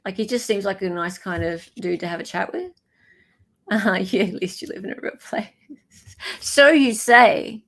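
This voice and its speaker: A woman's voice: mid-range voice, a little slow, a bit bright. An Australian woman host giving casual live commentary, musing aloud in an informal way.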